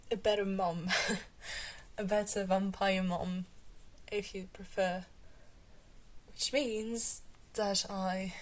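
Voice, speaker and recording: Woman acting as really old vampire lady, asmr roleplay, soft n slow, gentle protective mentor type, kinda hesitant. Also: mid-range voice. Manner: slow, hesitant, reassuring, with breathy pauses and small chuckles.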